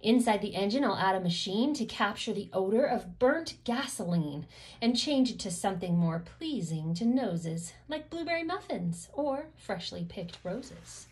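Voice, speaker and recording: A woman's voice: medium pitch, lively intonation, normal speed, darkish tone. A woman host reads a children's picture book aloud, following the rhyme with rhythmic, emphatic phrasing. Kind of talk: expressive rhyming storybook read-aloud for children.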